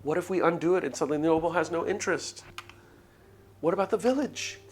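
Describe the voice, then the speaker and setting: A man voicing an anxious, soft-hearted village woman in a visual novel, hesitant and pleading. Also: on the high side, fast, pitched above the performer's own voice, close to an everyday voice. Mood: worried, pleading. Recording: music behind the voice.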